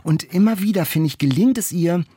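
A man's voice: high-pitched, brisk, mellow, a little hiss or hum. A man, co-hosting a conversational book podcast in an enthusiastic, reflective manner. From Germany. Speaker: podcast co-host discussing books.

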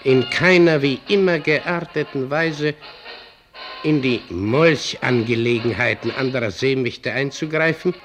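A man plays an older doorman in a radio drama. He speaks slowly and haltingly, muttering opinionated asides as he reads aloud. Faint music runs behind his voice. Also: medium pitch, a bit bright, natural voice. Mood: reading aloud, satisfied. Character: brooding, opinionated older doorman and newspaper clipper. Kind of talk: German radio drama acting.

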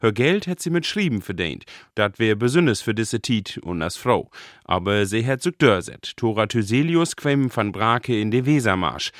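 A male radio reporter with a northern Low German accent delivers scripted narration. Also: expressive, moderate pace, no room sound, studio quality.

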